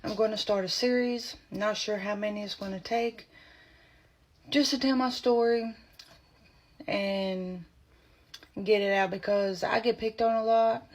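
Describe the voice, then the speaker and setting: A woman's voice: mid-range voice, steady tone. A woman with a strained, choppy voice, telling her personal story to camera in short, blunt bursts. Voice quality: strained, choppy voice from spasmodic dysphonia. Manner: blunt, indignant, talks in short halting bursts. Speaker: vlogger.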